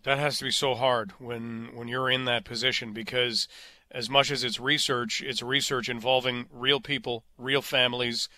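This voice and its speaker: Bright-voiced man hosting a radio interview. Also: medium pitch, little pitch movement, normal speed, dry recording. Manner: earnest, leading questions. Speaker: radio host.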